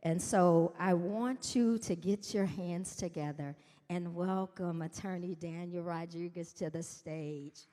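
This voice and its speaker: A woman introduces the next speaker in a relaxed, slightly hurried way. Her lowish voice trails off into the long reverb of a big hall. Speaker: event emcee. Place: school auditorium.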